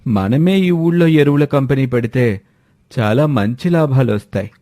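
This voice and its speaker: An enterprising, well-off village landlord from a story, played by a male narrator. He is earnest and persuasive, mid-pitched, with a dry sound. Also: measured pace, dark tone, no echo, lightly acted.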